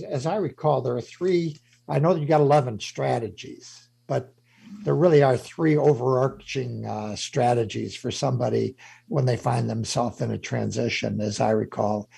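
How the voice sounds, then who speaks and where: A man's voice: mid-range voice, normal speed, creaky, dark tone, breathy edge. A man hosting a radio interview show, talking in a conversational way with a few restarts.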